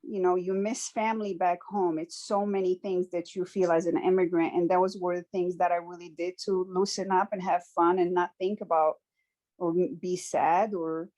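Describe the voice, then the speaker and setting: A woman's voice: fairly low pitch, little pitch movement, dark tone. Earnest woman telling her story. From Morocco. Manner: earnest and reflective, halting with frequent restarts. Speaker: guest speaker sharing her story. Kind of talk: conversational personal testimony.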